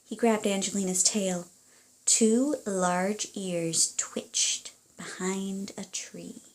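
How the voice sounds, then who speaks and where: A woman reading aloud for children and parents, in an expressive, deliberate storytelling manner. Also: mid-range voice. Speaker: storybook reader.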